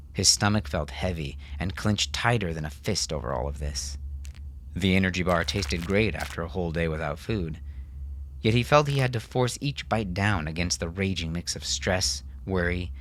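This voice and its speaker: A man's voice: low-pitched, fairly bright tone. A man narrating a scripted story as an audiobook narrator.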